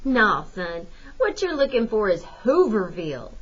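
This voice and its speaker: A woman's voice: medium pitch, sing-song, unhurried, a bit bright, breathy edge, highs cut off, full character voice. A woman voicing a folksy, wry, plainspoken drifter man in an audiobook. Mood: wry, explaining. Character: folksy, wry hobo man with mouth organ. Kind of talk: audiobook character voice.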